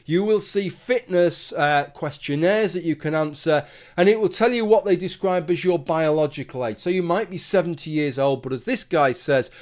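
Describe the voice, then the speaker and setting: A man's voice: a little high, darkish tone. A man delivering a conversational opinion monologue, arguing his point with rhetorical asides. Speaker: commentary video host.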